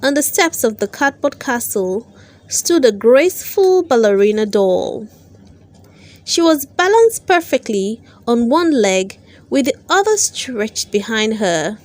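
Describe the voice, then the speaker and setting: Woman, children's story narrator, higher-pitched voice, very lively intonation, careful expressive read-aloud. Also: takes it slowly. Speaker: storyteller, channel host.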